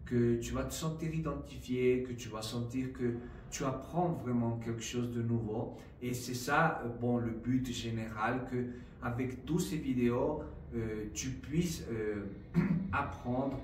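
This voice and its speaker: A man speaking French as a second language, vlogging in a slow, halting way with many fillers and frequent "d'accord?" checks to the viewer. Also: mid-range voice, fairly even intonation, a little mellow, distant mic, a little hiss or hum. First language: not French. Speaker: trading vlogger. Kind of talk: casual explanatory vlog. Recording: roomy, voice a bit off-mic, faint ambience.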